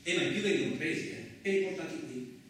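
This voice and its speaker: An actor playing a coarse, suspicious, lonely truck driver in a radio theatre monologue, rambling colloquially with frequent questioning interjections. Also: male voice, on the high side, brisk, a bit bright, natural voice. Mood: rambling, scornful. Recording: very echoey, distant, noisy room recording.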